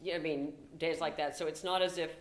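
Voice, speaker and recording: A woman moderating a panel, brisk and affirming as she takes questions. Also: sits low, steady tone. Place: event hall with audience. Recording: echoey room.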